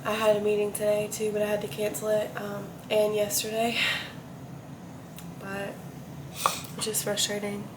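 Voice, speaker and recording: A woman's voice: medium pitch, completely flat delivery, moderate pace, pauses often, noisy background. A young woman, sick and congested, chatting casually and candidly to her vlog viewers in a stuffed-up, hesitant, meandering way. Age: young adult. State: sick with congestion and chest cough. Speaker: vlogger. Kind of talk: casual confessional vlog chat.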